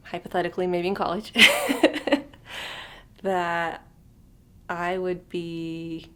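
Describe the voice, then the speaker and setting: A woman's voice: fairly low pitch, extremely slow, sharp tone, clean tone. A woman in her thirties chatting unscripted to camera as a vlogger, rambling and thinking aloud with lots of fillers, sometimes trailing off into a near whisper. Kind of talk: unscripted casual chat to camera.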